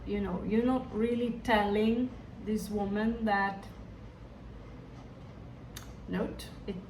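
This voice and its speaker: Woman tarot reader with a Hindi-tinged accent, unhurried and musing, distant mic in a reverberant room with noise behind. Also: mid-range voice, steady tone, a little mellow. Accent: Hindi-influenced. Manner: musing, hesitant, talks to the viewer directly. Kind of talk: tarot reading to viewers.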